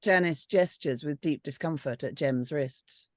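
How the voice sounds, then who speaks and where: A woman narrates stage directions from a script. Her pitch is a little low for a woman. The recording is very dry, with hardly any room sound. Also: measured pace, dry recording. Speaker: script panel reader reading stage directions.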